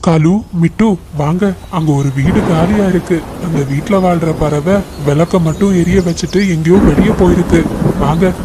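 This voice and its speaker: A man's voice: fairly high pitch, normal speed, natural voice. A man voicing a friendly, sensible dove in a cartoon story, speaking in an earnest, encouraging way. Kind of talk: Tamil cartoon story voice acting. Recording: noisy track with outdoor ambience.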